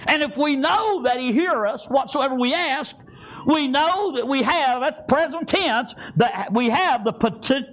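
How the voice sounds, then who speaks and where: Older male Southern US preacher, extremely high pitch, folksy and fervent sermon delivery. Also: fairly bright tone. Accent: Southern American. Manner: folksy, punctuated with frequent amens and praise God.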